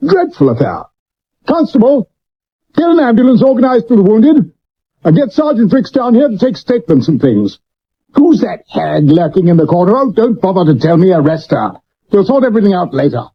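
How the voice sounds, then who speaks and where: A man's voice: sits high, husky, full character voice. A man acting an imperious, blustering police commissioner with an upper-class English accent and brusque, clipped phrases, in a 1970s radio drama. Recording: dull medium-wave radio recording.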